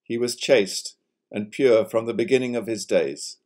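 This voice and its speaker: A man giving a solemn, formal reading of an old historical account in a measured, declamatory way. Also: medium pitch, a little mellow. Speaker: college provost giving a reading.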